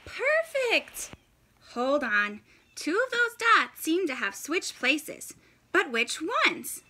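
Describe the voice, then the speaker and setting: A woman in her thirties doing an animated, exclaiming, encouraging read-aloud of a children's picture book. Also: sits high, slow pace. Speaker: teacher reading story time for children. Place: indoors, in front of a fabric backdrop.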